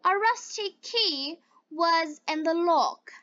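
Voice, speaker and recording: A woman storyteller with a bright voice reads scripted children's tales for learners. She goes extremely slowly, setting each short phrase apart. The recording is dry and somewhat dull. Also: extremely high pitch, animated, no echo. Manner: deliberate phrasing in short separated chunks. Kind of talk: scripted fairy-tale narration for beginners.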